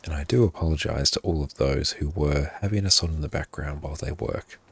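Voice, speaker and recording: A man livestreaming his gameplay in a hushed, half-whispered voice, often thanking his viewers. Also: rumbling low, a little fast, vocal fry, breathy edge.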